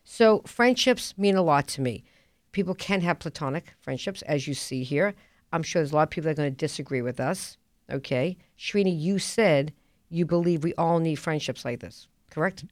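Female talk radio host, 50s, slightly low pitch, dry studio sound, blunt and emphatic. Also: moderate pace, dry recording. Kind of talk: casual talk radio chat.

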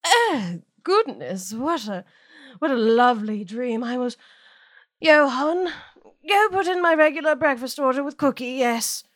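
A woman's voice: high-pitched, lively intonation, unhurried, a bit bright, no echo, clean studio sound. Woman putting on a languid genteel estate owner. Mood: languid, dreamy. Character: genteel estate owner waking, politely ordering servants.

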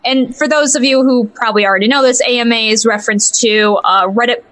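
A woman's voice: on the high side, fairly quick, fairly bright tone. A woman co-hosting a casual show, chatting in a giggly way with rambling asides. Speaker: podcast co-host. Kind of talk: casual chat, commentary.

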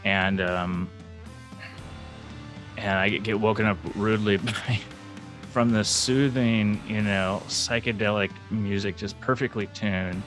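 Male co-host, baritone, low-set voice, loose and joking with faint background music. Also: steady tone, slow, a bit bright. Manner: rambling, joking. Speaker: podcast co-host. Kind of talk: casual interview chat.

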